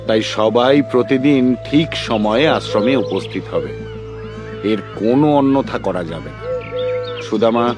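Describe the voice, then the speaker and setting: Man playing a deep-voiced, stern guru. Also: close to an everyday voice. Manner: stern, formal, measured lecturing. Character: strict, principled old male guru of a forest school. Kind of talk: dubbed cartoon acting. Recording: music behind the voice.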